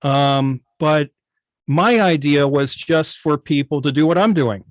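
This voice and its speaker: A man explaining his work informally on a video call, in a halting, detailed way. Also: a little high, no echo. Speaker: guest, radio station operator. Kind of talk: informal explanation in an online club meeting.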